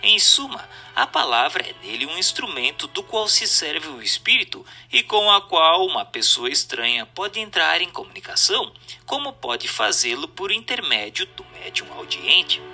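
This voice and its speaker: A man with a Brazilian accent narrates a written text in a formal, instructive manner. His voice is very bright and sharp, set a little high, with animated rises and falls. The delivery is that of a scripted audiobook. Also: normal speed, soft background music. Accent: Brazilian Portuguese. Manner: didactic, formal. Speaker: narrator reading a book aloud.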